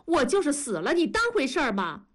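A woman doing a shrill, furious teenage daughter. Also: huge pitch swings, a little fast. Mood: angry, tearful. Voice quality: shrill, accusing. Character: upset teenage girl lashing out at father.

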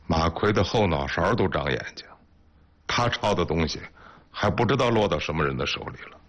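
An actor playing a shrewd, commanding intelligence station chief, with a husky voice, in a TV drama. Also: male voice, deep voice, animated, fairly quick, fairly bright tone. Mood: cool, calculating. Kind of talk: naturalistic TV drama acting. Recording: muffled TV soundtrack.

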